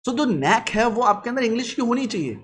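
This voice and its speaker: Blunt high-voiced man. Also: very animated, brisk, a bit bright, slight background noise. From Lucknow, India. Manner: blunt, lecturing, mixes English phrases into Hindi. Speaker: vlogger. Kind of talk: casual advice monologue.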